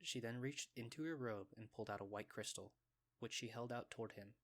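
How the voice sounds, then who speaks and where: A male narrator reads a scripted story in a mid-range voice. His delivery is measured and descriptive, in the manner of a storyteller. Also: mid-range voice.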